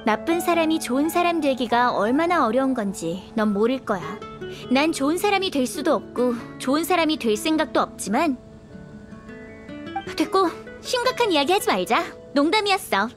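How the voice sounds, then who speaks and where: A woman's voice: sits high, very animated, moderate pace, music in the background. An actress playing a proud, aloof young noblewoman knight with a cool, haughty manner and hidden kindness in a video game.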